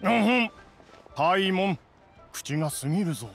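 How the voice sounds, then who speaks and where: Dignified, grumbling mountain immortal. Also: male voice, high voice, expressive, gravelly, loud music behind the voice, heavily acted. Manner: formal, archaic, measured, reproving. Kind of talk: anime game voice acting.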